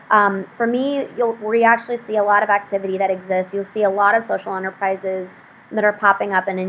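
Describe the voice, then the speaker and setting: A young woman with an American accent speaks in an earnest, casual way, often pausing on fillers. Her voice comes through a tinny, phone-like video call with a faint hiss. Her pitch sits in the middle for a woman. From Pakistan. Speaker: social enterprise founder.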